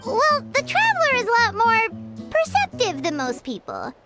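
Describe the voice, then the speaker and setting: A woman's voice: wildly swooping pitch, normal speed, exaggerated voice. A woman voicing a tiny, chatty female companion who refers to herself by name, in a game. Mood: chirpy. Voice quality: high squeaky childlike voice. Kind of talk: game voice acting. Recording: game audio with music behind.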